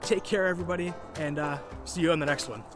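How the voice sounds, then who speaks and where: A man's voice: a little high, fairly even intonation, quick pace, music in the background. A man in his thirties introducing an instructional video series to camera as its host, speaking outdoors. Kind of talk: upbeat scripted-style introduction to camera. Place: outdoors in snowy woods.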